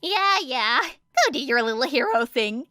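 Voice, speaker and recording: A woman's voice: high voice, very animated, measured pace, sharp tone, no room sound, studio quality, full character voice. A woman voicing an eager, beaming teenage girl inventor with a chirpy, breezy manner, in an audiobook story.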